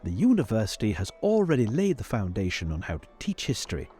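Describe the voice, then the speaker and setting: An earnest, patronizing old academic mentor, played by a man in a tabletop game. His pitch swings in a lively way as he halts and repeats himself formally. Faint music plays behind him. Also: quick pace. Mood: concerned, pleading. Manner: hesitant, repeating words, formal. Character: fussy, earnest older male university professor, patronizing mentor. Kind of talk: improvised tabletop roleplay.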